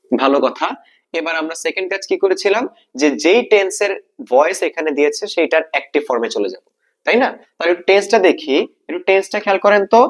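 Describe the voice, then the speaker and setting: Bangladeshi male teacher, slightly high-pitched voice. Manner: questioning, repeats key points, mixes in English terms. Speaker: English grammar teacher. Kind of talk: exam-prep grammar lesson, explaining step by step.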